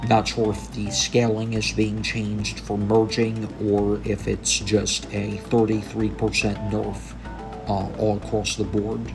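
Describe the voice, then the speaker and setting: A young man walks through game balance changes in a slow, low, gravelly voice, sounding tired and like he is coming down with a cold. His delivery stays flat and hesitant, full of ums. Game music plays clearly under his voice. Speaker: gaming YouTuber. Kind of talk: gameplay commentary on patch notes.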